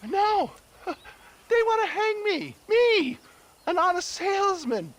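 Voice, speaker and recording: A man's voice: very high-pitched, sing-song, slow pace, a bit bright, full character voice. An actor playing a shifty, cowardly old snake-oil salesman in a video game, with a pompous, wheedling, hemming-and-hawing manner. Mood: indignant, pleading. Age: older. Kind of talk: dramatic video game cutscene acting.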